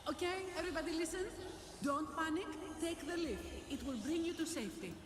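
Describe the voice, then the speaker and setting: A woman's voice: very high voice, expressive, fairly quick, music in the background. An actress playing a composed, dutiful woman who directs an evacuation in a video game, speaking in an official manner. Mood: urgent, commanding.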